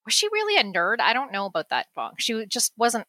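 A woman's voice: on the high side, huge pitch swings, fast talker, bright, forceful tone, dry recording. A woman and mother chatting casually about a teen movie, with frequent restarts and laughter. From United States. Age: adult parent. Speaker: movie review guest.

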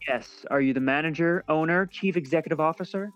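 A man's voice: on the high side, fairly quick, a bit bright, muffled recording, soft background music, close to an everyday voice. A man playing an officious, status-minded job applicant in a naturalistic comedic audio drama, formal, pointed and prone to stammer when bluffing. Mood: pointed, skeptical.

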